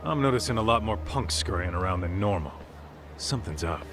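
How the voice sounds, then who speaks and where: A man's voice: lowish voice, lively intonation, brisk. An actor playing a brash, streetwise male detective in a game dub, teasing and blunt, with taunting slang. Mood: suspicious. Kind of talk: dubbed video game cutscene acting. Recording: music behind the voice.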